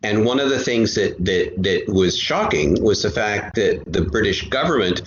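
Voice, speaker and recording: Guy with a lowish voice explaining stuff in an interview, earnest, repeats himself, echoey noisy recording. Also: animated, normal speed. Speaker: guest, co-creator of an audio drama podcast. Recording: roomy, dull, noisy amateur recording.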